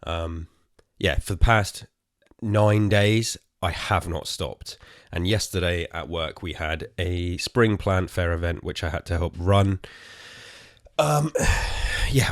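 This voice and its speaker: A tired, hoarse man with an English accent, rambling casually as host of his own podcast, swearing and poking fun at himself. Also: deep voice, no echo, studio quality. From England. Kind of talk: casual solo podcast chat.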